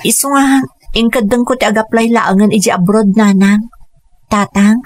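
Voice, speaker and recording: A woman's voice: fairly high pitch, steady tone, moderate pace, soft background music, close to an everyday voice. A woman playing an earnest, self-sacrificing young mother who speaks pleadingly in a radio drama. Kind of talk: Ilocano radio drama acting.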